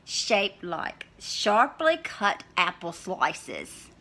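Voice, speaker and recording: Frau spielt einen hungrigen, listigen Wolf, lebhaft schwankende Stimme. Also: female voice, fairly low pitch, wildly swooping pitch, drawn-out words, pushed and bright, exaggerated voice. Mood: restrained, hungry. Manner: dramatic, scheming, wry. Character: hungry sly male wolf telling his own tale. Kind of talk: storybook read-aloud in character.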